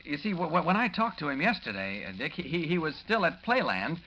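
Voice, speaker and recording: Brisk, animated take-charge newspaper reporter. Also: male voice, a little high. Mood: brisk, planning. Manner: urgent, clipped, commanding. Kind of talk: 1940s radio acting. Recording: dull, faintly noisy old radio recording.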